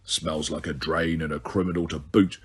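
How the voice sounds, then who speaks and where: A man voicing a spiteful old male house elf who mutters in a hoarse, deep, croaking voice. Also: fairly even intonation, moderate pace, fairly bright tone, heavily acted. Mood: muttering. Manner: muttering under the breath.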